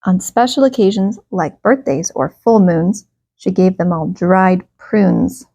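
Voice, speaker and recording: A woman reading a children's bedtime story aloud, expressively and with emphatic, drawn-out phrases. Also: medium pitch, warm tone, no room sound.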